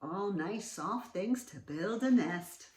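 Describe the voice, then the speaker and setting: A woman reading a storybook aloud to children, expressive and sing-song, with questioning asides. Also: mid-range voice, slow, recorded from a distance, a little hiss or hum. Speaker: children's read-aloud host. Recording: roomy, set back from mic.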